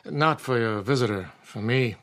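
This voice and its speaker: An actor playing a world-weary small-town doctor in a radio drama, speaking in a measured, deliberate manner. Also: male voice, medium pitch, a bit bright, close to an everyday voice. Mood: dry, measured.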